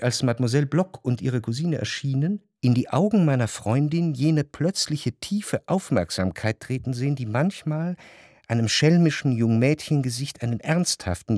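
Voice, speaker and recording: An actor playing a reflective, jealous gentleman who narrates a literary radio drama in measured, introspective phrases. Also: medium pitch, no room sound, studio quality, natural voice. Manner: measured, introspective, long formal sentences.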